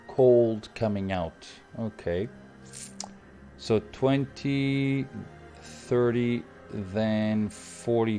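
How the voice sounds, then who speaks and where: A young Portuguese man with a Portuguese accent, thinking aloud through a strategy game on stream and chatting with viewers. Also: mid-range voice. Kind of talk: live gameplay commentary.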